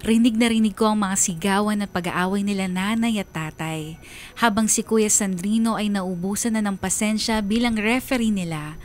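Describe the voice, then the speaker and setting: A woman's voice: mid-range voice, normal speed, slight background noise, lightly acted. An actress playing a sorrowful daughter who earnestly narrates her family's story in a radio drama. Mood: tense, numb.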